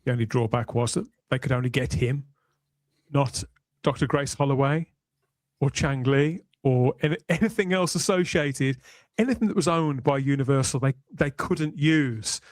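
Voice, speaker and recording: A man hosting a podcast panel discussion, talking in a measured, discursive way with deliberate pauses. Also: mid-range voice, dry recording. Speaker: podcast host.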